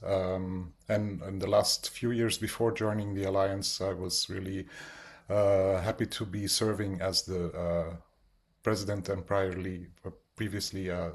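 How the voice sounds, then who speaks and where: A man moderating a webinar over a video call, introducing the program and speaker with many hesitant uhs. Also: sits low. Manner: hesitant, frequent "uh" fillers.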